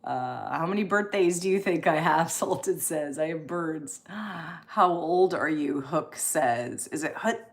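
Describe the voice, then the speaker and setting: Woman, mid-forties, lowish voice, encouraging chatty live-stream host, expressive reactions. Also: a little slow. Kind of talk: casual live chat, reading and answering viewer comments.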